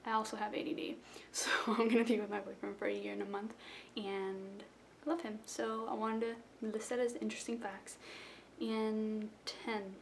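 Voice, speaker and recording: A woman's voice: medium pitch, level tone, moderate pace, gravelly, a little hiss or hum. A young woman from South Florida talking casually to a webcam in her bedroom, rambling and self-deprecating. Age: young adult. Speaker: vlogger. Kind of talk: casual rambling intro vlog. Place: small bedroom indoors. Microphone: webcam at close range.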